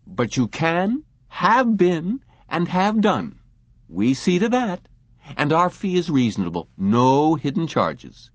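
A man voicing a smooth, persuasive middle-aged salesman who talks emphatically and genially. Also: fairly high pitch, wildly swooping pitch, takes it slowly, no echo, voice pushed up, full character voice. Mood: patient.

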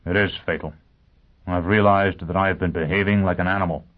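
A man's voice: deep voice, fairly even intonation, fairly quick, natural voice. A man voicing a grim, bitter criminal tormented by conscience in an audiobook, speaking with grave intensity. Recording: narrow phone-like sound.

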